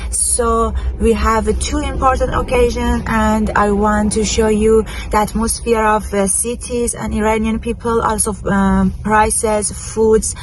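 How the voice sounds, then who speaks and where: A woman with a Persian accent talks slowly and evenly to her vlog audience. Persian is her native language, and her English comes out hesitant, with searches for words. Clear ambient noise runs behind her voice. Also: fairly high pitch, flat delivery, low-quality recording. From Iran. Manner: halting, hesitant English with frequent word searching. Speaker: travel and lifestyle vlogger. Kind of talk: casual vlog talk to camera.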